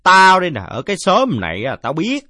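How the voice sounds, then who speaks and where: A man's voice: high voice, wildly swooping pitch, brisk, pushed and bright, no echo, clean studio sound, voice pushed up, full character voice. A man voicing a belligerent, drunken village father in a story, blustering and coarse-mouthed. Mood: scornful bluster.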